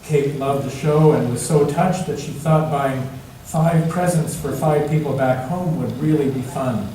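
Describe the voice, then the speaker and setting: Male storyteller, slightly high-pitched, dramatic picture-book read-aloud with emphatic pauses, in a very reverberant hall. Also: mellow. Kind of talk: expressive read-aloud storytelling for all ages. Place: large indoor church space, heard from a distance. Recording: echoey church hall, distant mic, faint noise.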